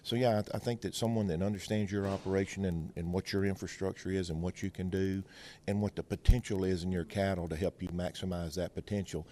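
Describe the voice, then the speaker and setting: A man's voice: deep voice, steady tone, fast talker, warm tone, slight background noise. A middle-aged man with a Mississippi Southern accent, explaining things in a folksy, plain-spoken way as an interview guest and veterinarian. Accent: Mississippi Southern American. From Mississippi, United States. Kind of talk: explanatory interview talk with anecdotes and analogies.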